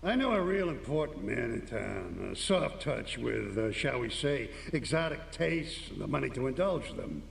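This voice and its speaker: An actor playing a jittery, corrupt middle-aged cop in a video game, gravelly, scheming and foul-tempered. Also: male voice, mid-range voice, sing-song, moderate pace, few pauses. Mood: sly, scheming. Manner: agitated, conspiratorial, streetwise. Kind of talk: video game cutscene acting. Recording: roomy, distant, faint noise.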